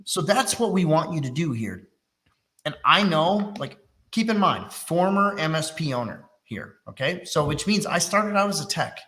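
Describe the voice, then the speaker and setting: A man's voice: on the high side, sing-song, normal speed, fairly bright tone. A middle-aged man from Texas, a little congested, hosting a live business webinar in a chatty, teasing, self-deprecating way. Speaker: workshop host. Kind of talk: casual live webinar talk.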